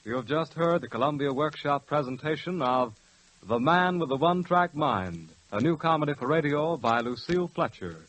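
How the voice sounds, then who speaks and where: High-voiced formal man, vintage radio announcer. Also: expressive, fairly bright tone, rough recording. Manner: measured, formal.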